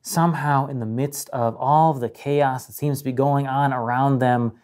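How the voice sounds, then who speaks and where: Mellow-voiced pastor preaching with dramatic pauses. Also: male voice, medium pitch, fairly even intonation. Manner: measured, dramatic pauses and emphasis. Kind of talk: sermon. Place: indoor room with a painted mural, speaking to camera.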